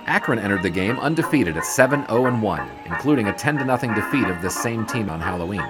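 Man as low-voiced 1920s sports writer over music. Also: animated, natural voice. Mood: narrating, wry. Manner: literary, measured storytelling. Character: 1920s sports writer recounting games gone by. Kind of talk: scripted narration in audio drama. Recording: loud music behind voice.

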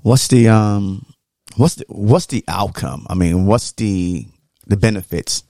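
A man's voice: on the low side, slow, gravelly, warm tone, no room sound, studio quality. An American man co-hosting a podcast, chatting casually with questions and short, agreeable interjections. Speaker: podcast co-host. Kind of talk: casual chat, reacting and questioning.

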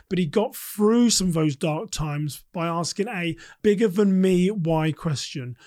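Higher-voiced male podcast host, dry sound. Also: darkish tone, no room sound. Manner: chatty, open-ended questions. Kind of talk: conversational podcast interviewing.

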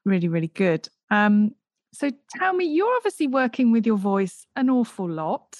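A woman hosts a podcast in a conversational interviewing style. Her pitch sits in the middle for a woman. The recording is dry, with little room sound, made at home under a blanket. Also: big pitch swings, moderate pace, no echo. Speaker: podcast host.

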